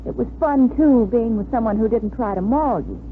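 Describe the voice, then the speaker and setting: Actress as young bride-to-be, conflicted and earnest, higher voice, 1950s radio drama on a narrow, noisy broadcast. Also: female voice, moderate pace, lightly acted. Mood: wistful, confessing.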